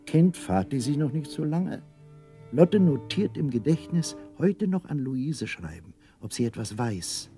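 A man's voice: medium pitch, big pitch swings, unhurried, mellow, faint music behind. A man narrating a scripted children's radio play as a storyteller.

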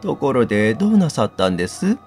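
A man voicing a polite, smiling woman teacher from an adventure game, courteous and softly inquiring. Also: mid-range voice, big pitch swings, fairly quick, soft background music. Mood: polite, puzzled.

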